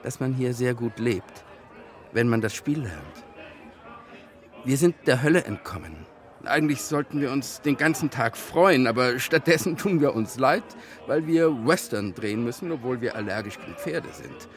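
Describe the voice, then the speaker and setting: A man's voice: mid-range voice, animated, normal speed, close to an everyday voice. Man playing a wry, worldly émigré film man. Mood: wry, persuasive. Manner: wry, expansive, advising. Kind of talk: radio drama acting.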